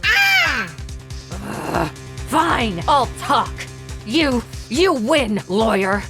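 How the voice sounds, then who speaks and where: A woman's voice: on the high side, wildly swooping pitch, drawn-out words, music in the background, full character voice. A woman voicing an evasive, sulky young secretary in a theatrical video game fan dub, with a dramatic, defensive, stammering delivery. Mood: sulky, defeated. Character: evasive, flustered, sulky young female secretary under questioning.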